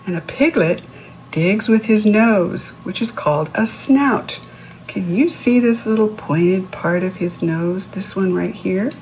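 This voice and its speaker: A woman's voice: medium pitch, measured pace, darkish tone, slight background noise, poor audio. A woman reading a picture book aloud to young children, instructive, posing questions to the listener and explaining words. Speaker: children's read-aloud host.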